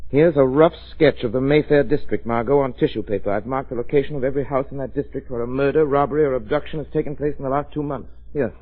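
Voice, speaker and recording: Man acting quick-talking gentleman sleuth, vintage radio. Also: mid-range voice, fairly even intonation, close to an everyday voice. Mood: explaining, intent. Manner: precise, emphatic, explanatory delivery. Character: self-assured amateur sleuth, grave and keen-minded gentleman. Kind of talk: 1930s-40s radio drama acting. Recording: tinny, band-limited vintage radio recording.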